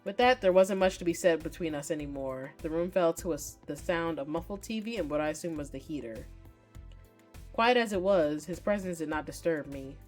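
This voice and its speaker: A woman's voice: low voice, fairly quick, a little mellow, faint music behind. A woman reading aloud as a wary, weary young man narrating a visual novel, guarded and dry. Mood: pensive.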